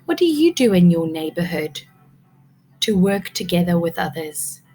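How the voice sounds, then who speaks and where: A woman's voice: lowish voice, very animated, normal speed, mellow, soft background music. A woman teacher reading a picture book aloud to young children, expressively, prompting them with questions.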